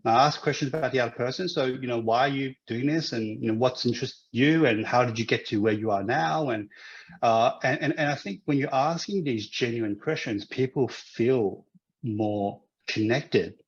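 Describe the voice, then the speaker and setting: A man's voice: mid-range voice, normal speed, muffled recording. A man from Hong Kong who grew up in Australia, a guest in a casual interview, who talks with many restarts and fillers. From Hong Kong, raised in Australia.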